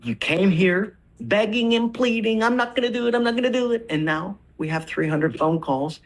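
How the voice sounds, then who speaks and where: A man's voice: high-pitched, moderate pace. A man speaking as a judge in a courtroom, stern and admonishing as he addresses lawyers and a defendant.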